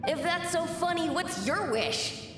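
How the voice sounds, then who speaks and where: Woman voicing an eager, very high little boy, echoing. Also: animated, a little slow, over music. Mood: excited. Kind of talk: video game voice acting. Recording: echoey hall, distant, reverberant.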